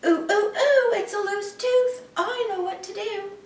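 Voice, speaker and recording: A woman's voice: very high-pitched, measured pace, voice pushed up. A woman voicing a fussy mother who coos over her son. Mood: cooing. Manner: cooing. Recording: echoey room, mic far off.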